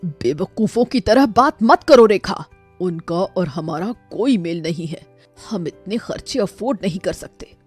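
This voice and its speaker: A woman's voice: lowish voice, lively intonation, measured pace, darkish tone, breathy edge, faint music behind, voice pushed down. A woman voicing an exasperated working husband who scolds and lectures in a storybook tale. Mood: scolding. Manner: reproachful, lecturing.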